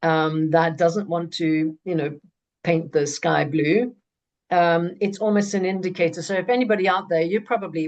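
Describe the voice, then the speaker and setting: Host, woman from Zambia, slightly low voice, eager, stumbles and restarts often. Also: darkish tone. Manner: halting, many restarts, enthusiastic. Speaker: webinar host. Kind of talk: hosting an online webinar.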